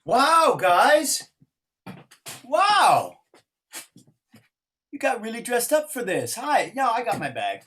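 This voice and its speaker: A woman's voice: on the high side, huge pitch swings, slow, long pauses, fairly bright tone, exaggerated voice. A woman playing an effusive arriving traveller in improvised theatre. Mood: delighted. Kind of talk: improvised acting.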